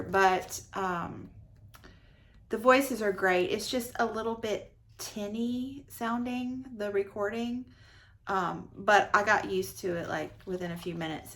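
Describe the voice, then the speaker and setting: A woman giving a casual, effusive book review as a vlogger, often repeating words for emphasis. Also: mid-range voice.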